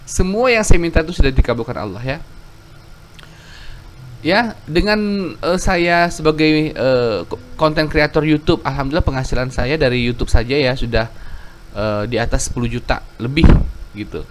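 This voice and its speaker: A man's voice: a little high, moderate pace, a little hiss or hum. A man in his thirties, a YouTube storyteller reading out a viewer's letter and then telling his own story in a rambling, self-correcting way.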